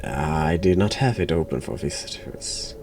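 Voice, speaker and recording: A man with a very deep, gravelly voice commenting casually on a horror game. He talks slowly, with dry, deadpan sarcasm, and music plays behind him. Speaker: streamer playing a horror game.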